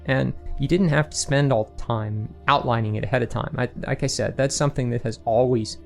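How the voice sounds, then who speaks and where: A man's voice: mid-range voice, steady tone, brisk, creaky, soft background music. A man speaking as a guest author in a casual interview, explaining his process with rhetorical questions and quoted asides to himself. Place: remote online recording session.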